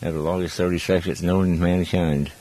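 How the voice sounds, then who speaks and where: A man's voice: low-pitched, level tone, a little fast, warm tone. A man hosting a call-in radio show, chatting in an easygoing, rambling way. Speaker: radio host.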